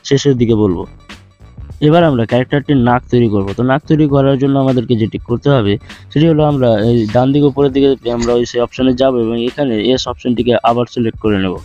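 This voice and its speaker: Fast-talking man with a dark, dull voice. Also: medium pitch. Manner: steady instructional, addresses viewers as friends. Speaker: tutorial host. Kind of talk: step-by-step screen tutorial. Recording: dull lo-fi with faint music.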